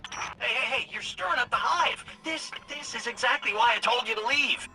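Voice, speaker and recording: An actor playing a nervy, shifty robot turncoat in a video game, stammering and evasive in slangy talk. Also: male voice, very high voice, moderate pace, over music, poor audio. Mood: urgent warning. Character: nervy, shifty, fast-talking turncoat robot companion, male.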